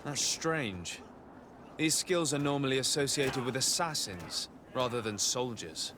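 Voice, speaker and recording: An actor playing a polite, inquisitive noble diplomat in a video game, with formal, probing speech. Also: male voice, medium pitch, lively intonation, a little slow, lightly acted. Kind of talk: video game cutscene acting. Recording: outdoor ambient noise throughout.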